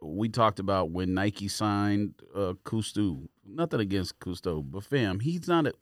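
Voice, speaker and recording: A man's voice: fairly low pitch, animated, measured pace, no echo, studio quality. A man co-hosting a podcast in casual, opinionated banter, speaking bluntly in slang with a sardonic edge. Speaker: podcast co-host.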